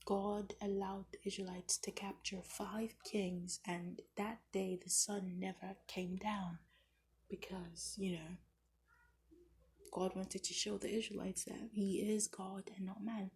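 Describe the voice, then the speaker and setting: A young woman with a low, husky voice edged with gravel talks through a Bible chapter in a soft, near-whispered hush. Her pitch barely moves as she chats casually. It comes across as a plain, low-quality home recording. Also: slow pace. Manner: soft, half-whispered, flat delivery with fillers. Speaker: host of a daily Bible chapter series. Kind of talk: casual devotional Bible reading and reflection.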